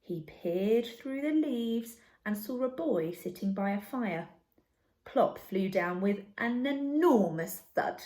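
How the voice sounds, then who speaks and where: A woman's voice: a little high, lively intonation, takes it slowly, dark tone. A woman reading a children's story aloud expressively, like a teacher addressing young listeners, in an echoey room.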